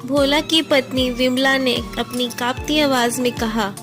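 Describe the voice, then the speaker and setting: A woman's voice: high-pitched, fairly even intonation, measured pace, music in the background. A woman reading scripted narration for a moral story as the storyteller.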